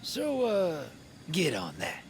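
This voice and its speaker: An actor playing a sly, folksy drifter rogue in a video game, with an American accent and colloquial, easygoing swagger. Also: male voice, fairly high pitch, wildly swooping pitch, slow, a little hiss or hum, full character voice. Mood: wry, easygoing. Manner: folksy drawl with colloquial grammar, chuckling asides. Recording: music behind the voice.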